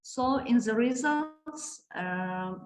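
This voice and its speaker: Woman, conference presenter, halting and hesitant, slow, echoey hall recording. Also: a little high, lively intonation, soft background music. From Kazakhstan. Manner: halting, searching for words, many hesitations. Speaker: teacher presenting a project. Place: conference room.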